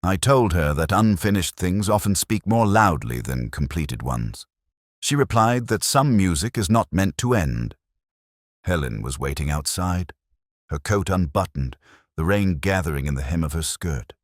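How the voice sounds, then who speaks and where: A man reading a first-person mystery story as its narrator, in a measured, reflective, unhurried way. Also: low-pitched, lively intonation, dry recording, studio quality.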